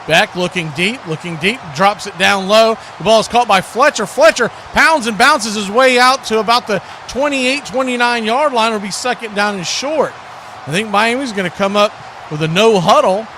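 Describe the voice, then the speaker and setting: An American man giving live football play-by-play in short clipped phrases that build with excitement. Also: high voice, normal speed, slight background noise. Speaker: play-by-play announcer.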